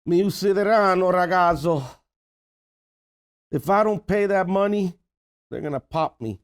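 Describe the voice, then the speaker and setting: A man's voice: high voice, unhurried, smooth. A man playing a desperate, scheming middle-aged uncle in a comedy table read, blustering and pleading.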